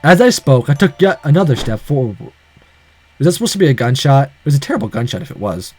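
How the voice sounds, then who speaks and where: A man's voice: medium pitch, sing-song, fast talker, a little mellow, husky, no echo. A man reading a visual novel aloud, mixing dramatic narration with casual asides.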